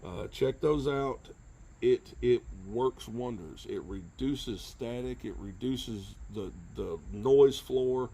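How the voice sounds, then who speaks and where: A middle-aged man giving a casual hands-on tutorial, plain-spoken and halting, often checking in with a short question. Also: medium pitch, gravelly, mellow, breathy edge. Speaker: how-to video presenter. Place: truck bed by a cluttered garage or workshop.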